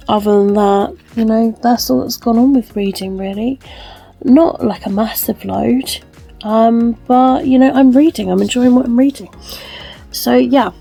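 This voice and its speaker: A woman's voice: medium pitch, creaky, mellow, slightly rough. A young woman chatting casually in a vlog, rambling with lots of ums and likes. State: wheezy, recovering from illness. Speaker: book vlogger.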